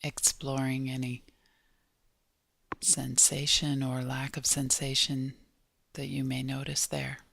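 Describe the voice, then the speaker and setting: A woman leading a guided body scan meditation in a gentle, measured manner, leaving long pauses between short phrases. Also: very deep voice, monotone, gravelly, no room sound, studio quality.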